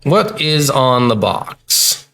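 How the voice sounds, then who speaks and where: A man of middle pitch co-hosting a casual video game review podcast. He rambles in an animated way and swears freely. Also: extremely slow, vocal fry, soft background music. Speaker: podcast co-host.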